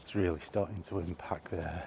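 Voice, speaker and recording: A man giving a casual, halting opinion monologue to a vlog audience, rambling along in short phrases while out walking. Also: low voice, steady tone, gravelly, dark tone, slight background noise. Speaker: video blogger. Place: outdoors, walking.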